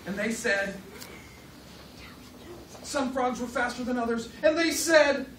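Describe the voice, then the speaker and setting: High, animated woman storyteller in echoey room. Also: slow pace. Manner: dramatic, feeds short lines for children to repeat. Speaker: storyteller leading a children's puppet show. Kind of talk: live storytelling narration for children. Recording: roomy, distant mic, noisy room.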